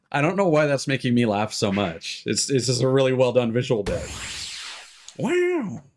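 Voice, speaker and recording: A man's voice: mid-range voice, huge pitch swings, normal speed, a little mellow, a little hiss or hum. A man giving casual gameplay commentary as a streamer, muttering and exclaiming at the game as he plays. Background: video game music.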